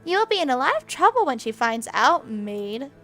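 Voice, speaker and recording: A woman's voice: high-pitched, sing-song, unhurried, fairly bright tone, faint music behind, full character voice. A woman voicing a spoiled, haughty young noblewoman who taunts and sneers. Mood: taunting.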